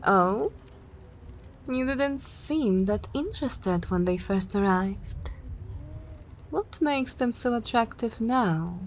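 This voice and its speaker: A woman's voice: a little high, animated, slow, long pauses, a little hiss or hum. A woman playing a haughty, seductive vampire mistress in an ASMR roleplay, teasing and self-assured in a theatrical way.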